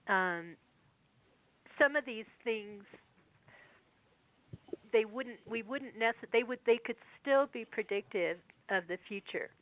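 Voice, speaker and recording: A woman with a cold, her voice going, speaking as a guest over the phone and reading old verses slowly between chatty asides. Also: medium pitch, dry recording. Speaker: guest researcher. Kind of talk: phone interview, reading prophecy verses aloud. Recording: tinny phone line.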